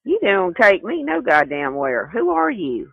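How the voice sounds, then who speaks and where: A woman's voice: mid-range voice, huge pitch swings, unhurried, gravelly, husky, dry recording. A woman with a Southern US accent on the phone, talking bluntly with irritated disbelief. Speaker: prank call target. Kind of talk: casual phone talk. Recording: muffled telephone call.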